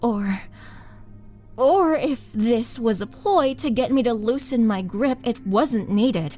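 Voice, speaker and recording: A woman's voice: fairly high pitch, lively intonation, dark tone. A woman voicing a towering, bloodthirsty half-orc murderess in an intimate audio roleplay, possessive, teasing and dramatic, sometimes dropping toward a whisper. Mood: seductive, softening. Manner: slow, dramatic, menacing and taunting, breathy dips into whisper. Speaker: roleplay voice performer. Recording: background music under voice.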